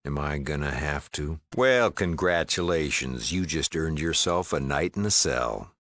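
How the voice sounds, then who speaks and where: An actor playing a gruff, plain-spoken mayor and shopkeeper of a frontier town in a video game, with an American accent and a wry, folksy drawl. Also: male voice, animated, unhurried, a bit bright, dry recording, clean studio sound, heavily acted. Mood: stern, threatening. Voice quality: deep, gravelly. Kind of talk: video game dialogue acting.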